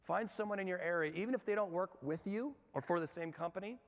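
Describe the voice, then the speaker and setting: A man giving a lively workshop talk to a live audience, asking them questions and talking in a conversational way. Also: high-pitched, quick pace. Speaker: speaker at a management workshop. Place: large hall with an audience. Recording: long hall reverb.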